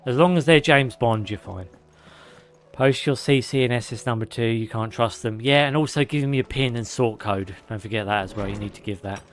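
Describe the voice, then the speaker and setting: Hoarse streamer reading lore. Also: male voice, mid-range voice, brisk. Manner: sarcastic asides, swearing. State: hoarse, losing his voice; slightly out of breath. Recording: faint music behind.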